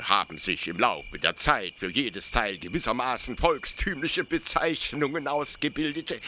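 Stammering, zealous officer, sharp bright voice. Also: male voice, fairly high pitch, a little hiss or hum, exaggerated voice. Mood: eager, insistent. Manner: stammering, hesitant, full of nervous false starts. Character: zealous, rambling male colonial army officer. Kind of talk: German radio play acting.

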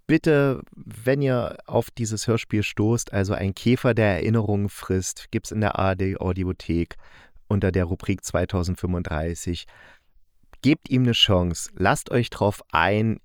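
A man with a medium-pitched voice talks through a personal review in a casual way. He is enthusiastic and reflective, thinking aloud as if in conversation. The sound is dry and close, with very little room in it. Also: dry recording. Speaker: audio drama reviewer.

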